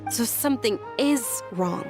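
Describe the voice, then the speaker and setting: An actress voicing a gentle, caring young noble lady in an English-dubbed anime game, with polite, measured and formal phrasing. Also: fairly high pitch, expressive. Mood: concerned. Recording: game dialogue over loud music.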